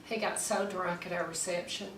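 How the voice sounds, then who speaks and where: A woman's voice: lowish voice, flat delivery, normal speed, fairly bright tone, close to an everyday voice. Unhappy wife woman performer, confiding storytelling monologue, distant echoey recording with noise. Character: wife confiding about her unhappy marriage to a drunkard. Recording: echoey, noisy room, distant mic.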